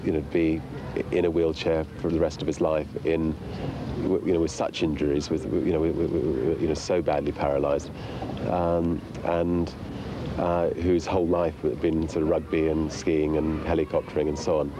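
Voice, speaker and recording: A man's voice: rumbling low, level tone, moderate pace, noisy background. A man in an unscripted interview, talking hesitantly with stammered repetitions and frequent filler phrases. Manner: hesitant, stammering repetitions, many "you know"s. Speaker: interviewee, friend of the documentary's subject.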